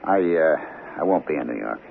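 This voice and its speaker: Meek husband on hissy old radio. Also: male voice, very deep voice, animated, brisk, gravelly, exaggerated voice. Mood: resigned, defensive. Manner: hesitant, stammering, deferential. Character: meek, hesitant husband, a loyal working salesman. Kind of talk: old-time radio drama acting. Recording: noisy, tinny old radio broadcast.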